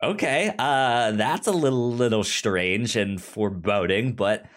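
A podcast co-host chatting casually about a show, haltingly, often repeating starts while searching for words. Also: medium pitch. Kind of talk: casual chat recapping a TV show.

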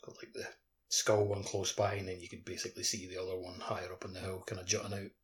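Casting: male podcast co-host, slightly low voice, relaxed informal phrasing. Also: quick pace. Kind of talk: casual chat.

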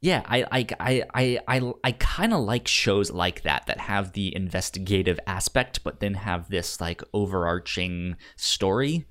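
A man co-hosts a casual podcast in a fairly low voice. He talks slowly and haltingly, often repeating the first sounds of a word before getting it out. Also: lively intonation, a bit bright. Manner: halting, stammers over word starts. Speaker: podcast co-host. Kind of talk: casual chat.

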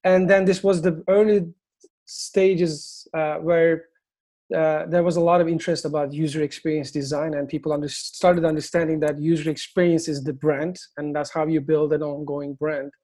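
Turkish-accented male founder, mellow tone, halting speech. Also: fairly high pitch, flat delivery. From Turkey. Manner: halting, many fillers and restarts. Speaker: agency founder, guest. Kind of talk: interview answers.

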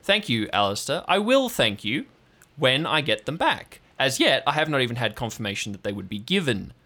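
A man's voice: medium pitch, very animated, a little fast, pushed and bright, exaggerated voice. A man voicing an abrupt, authoritative female park ranger chief in an improvised audio drama. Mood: stern, exasperated.

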